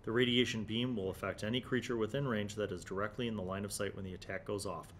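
An American man in his forties hosting a retro gaming review with relaxed, nostalgic, casual commentary. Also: quick pace. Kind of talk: casual commentary while leafing through an old magazine. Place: small indoor studio room. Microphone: large desk condenser microphone. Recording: clear low background rumble and noise.

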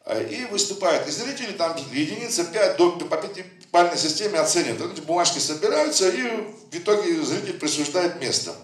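A man's voice: high-pitched, lively intonation, a little fast, few pauses. A man presenting his poetry book, reciting verse with a rhythmic cadence and chatting loosely between poems. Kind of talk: poetry reading with anecdotes. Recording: echoey room, voice from a distant mic.

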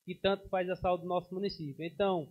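Man, thirties, councilman, formal podium speech, high even voice, muffled broadcast sound. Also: fast. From Brazil. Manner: formal, steady, with frequent hesitations. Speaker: city councilman. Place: council chamber. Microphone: podium microphone.

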